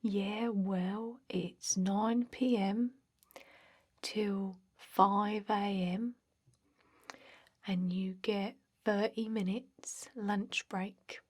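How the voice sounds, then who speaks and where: Casting: actress for a bored female energy vampire clerk, very slow, soft and mellow, often whispered, halting deadpan delivery, ASMR roleplay. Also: medium pitch. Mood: bored, chatty. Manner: halting, monotone, hesitant, deadpan.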